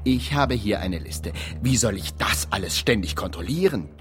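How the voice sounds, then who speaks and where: A zealous, swooping campaign strategist. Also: male voice, on the low side, expressive, moderate pace, a bit bright, soft background music. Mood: alarmist. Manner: declamatory, sloganeering. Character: zealous male campaign strategist pitching grand slogans. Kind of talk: satirical radio drama, campaign presentation.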